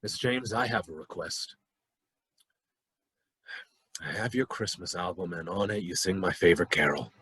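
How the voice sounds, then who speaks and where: An actor playing a polite, sentimental man in a radio play, speaking with a husky voice. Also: low voice, moderate pace, pauses often, sharp tone, no room sound, heavily acted. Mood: earnest. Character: polite, sentimental man making a heartfelt request.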